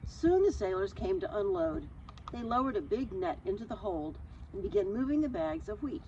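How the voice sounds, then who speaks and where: A woman reads a story for children with very lively, swinging intonation. Her delivery is chatty and explanatory, with informal asides. The recording is somewhat dull, with noticeable background noise. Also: mid-range voice, normal speed. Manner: animated, chatty, explains words simply. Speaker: storyteller reading aloud. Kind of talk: expressive children's story reading with casual asides.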